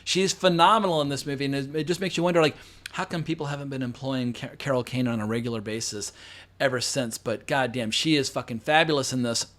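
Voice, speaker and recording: A man's voice: medium pitch, quick pace, fairly bright tone. A middle-aged man giving a casual, enthusiastic film review, rambling at length and swearing freely for emphasis. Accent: non-local, not New York. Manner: long-winded, chatty, with frequent fillers.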